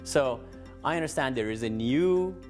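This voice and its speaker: A man's voice: mid-range voice, lively intonation, normal speed, darkish tone, faint music behind. A man hosting a design show, presenting and interviewing in an effusive, complimentary way. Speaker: TV show host.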